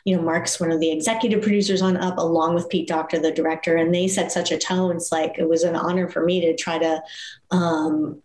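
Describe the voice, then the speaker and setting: Female producer as interview guest, on the low side, thoughtful and halting, recorded with noticeable room echo. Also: level tone, a little mellow. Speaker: animation producer being interviewed. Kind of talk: casual, reflective interview talk. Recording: roomy echo.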